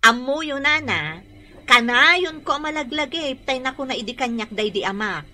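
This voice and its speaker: An actress with an Ilocano accent playing a brisk, firm married laundry shop owner in an emphatic, expressive radio drama style. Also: female voice, high voice. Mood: friendly, practical.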